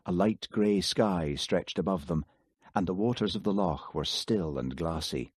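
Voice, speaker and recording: A man reading an audiobook in calm, steady scripted narration. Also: low voice, a little slow, breathy edge, dry recording, clean studio sound.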